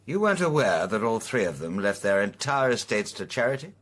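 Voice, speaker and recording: Middle-aged amateur sleuth in radio drama: courteous, guarded, wry, lowish voice with very varied intonation. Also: male voice, very animated, fairly bright tone. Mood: gently probing. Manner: measured, polite, wry. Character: courteous, guarded male amateur detective, a father.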